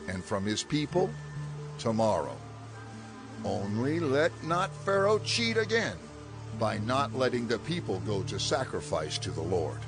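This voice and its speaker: An earnest male prophet with a lively, swinging pitch in a middle register. He declaims firmly in an audio Bible drama, with music clearly behind him.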